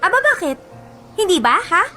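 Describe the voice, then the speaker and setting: A woman's voice: very high-pitched, very animated, normal speed, bright, forceful tone, full character voice. A woman acting a sulky young woman fed up with her boyfriend in a Filipino radio drama, complaining in a huffy, emphatic way. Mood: annoyed, sulky. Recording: music bed behind voice.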